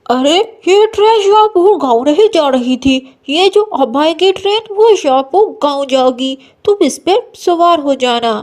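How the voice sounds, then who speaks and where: A woman's voice: sits high, animated, unhurried, few pauses, a little mellow. A woman playing a helpful railway station local in a moral story cartoon, informative and emphatic.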